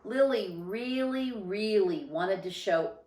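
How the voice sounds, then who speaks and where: A woman reading a children's story aloud with expressive, deliberate and emphatic phrasing. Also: mid-range voice, far from the mic. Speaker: storybook reader. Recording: roomy, echoing sound.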